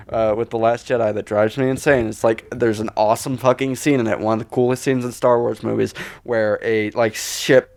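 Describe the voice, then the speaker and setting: Man, mid-pitched voice, podcast co-host, casual film chat, opinionated, rambling rants, acts out mock quotes. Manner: rambling, exasperated ranting with acted-out mock quotes.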